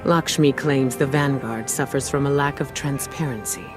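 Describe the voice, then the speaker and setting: Stern commander played by a man, measured and authoritative. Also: mid-range voice, natural voice. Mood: grave, determined. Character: stern, resolute male military commander. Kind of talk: scripted game cutscene monologue. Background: music.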